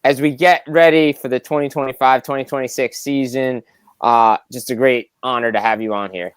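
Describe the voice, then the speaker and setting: A man's voice: mid-range voice, steady tone, moderate pace, smooth, no echo. A man hosting a podcast, speaking politely to his guest in a conversational presenting style. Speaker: podcast host. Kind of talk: podcast sign-off, polite thanks.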